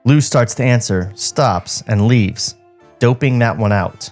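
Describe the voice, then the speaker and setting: A man's voice: medium pitch, takes it slowly, a little mellow, faint music behind. A man co-hosting a podcast, reading a script aloud with irreverent, joking banter. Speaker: podcast co-host.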